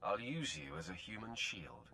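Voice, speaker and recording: An actor playing an imperious Sith lord in a video game, speaking in a curt, dry, commanding manner. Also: male voice, lowish voice, very animated, moderate pace, gravelly, sharp tone, slight background noise, heavily acted. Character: imperious, drily menacing male Sith lord. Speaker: game protagonist.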